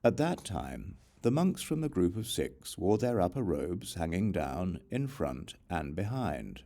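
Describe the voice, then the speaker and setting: Low, gravelly male scripture narrator. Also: sing-song, warm tone. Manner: measured, formal recitation. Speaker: audiobook reader.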